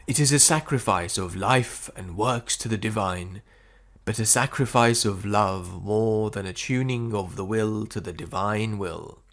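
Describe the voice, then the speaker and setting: A man reading spiritual prose as an audiobook narrator, phrasing it deliberately with frequent pauses. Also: lowish voice, a bit bright. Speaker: audiobook reader.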